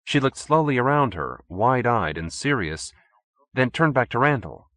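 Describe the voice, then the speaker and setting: A man's voice: medium pitch, big pitch swings, moderate pace, a bit bright, dry recording. A man reading fiction as an audiobook narrator, in scripted storytelling style.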